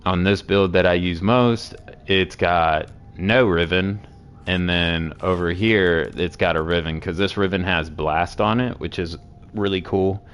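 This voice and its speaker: A hoarse man with a sore throat casually walking through game builds, rambling with many fillers. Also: deep voice, unhurried, a bit bright. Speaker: game streamer explaining builds.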